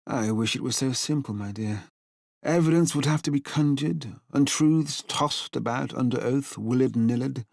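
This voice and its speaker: An actor playing a grandiloquent, paternal older policeman with flowery wording and dramatic pauses in an audiobook drama. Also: mid-range voice, creaky, a little mellow, dry recording, clean studio sound. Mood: grave, theatrical. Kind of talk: full-cast audiobook acting.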